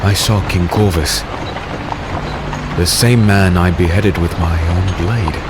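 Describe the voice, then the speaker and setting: Low, brooding fantasy warrior. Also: male voice, slow pace, heavy background noise. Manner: brooding, terse, dramatic. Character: Tristian, grim haunted male warrior, secretive and urgent. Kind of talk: dark fantasy audio drama, first-person narration and dialogue.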